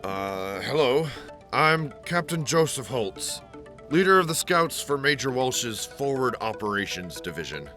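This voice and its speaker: A man's voice: mid-range voice, big pitch swings, measured pace, pushed and bright. A man playing a mild, plain-spoken military scout captain being interviewed in an audio drama, polite and unassuming. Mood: mild, slightly awkward. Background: music.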